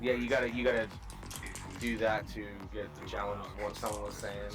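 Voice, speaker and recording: A man who is new to the game chatting casually, halting and restarting as he asks questions. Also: lowish voice, steady tone, vocal fry, over music. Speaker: newer player in voice chat. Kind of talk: casual gaming chat.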